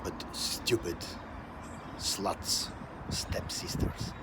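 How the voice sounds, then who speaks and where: A man telling a personal story as a deliberate, dramatic monologue in short emphatic phrases, with a scornful edge. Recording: loud outdoor traffic-like ambient noise.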